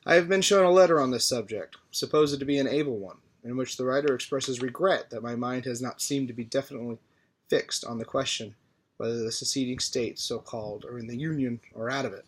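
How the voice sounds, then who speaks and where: A man reading a formal historical political speech aloud in a measured, deliberate way. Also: medium pitch, breathy edge. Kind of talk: formal oratory read aloud from a text.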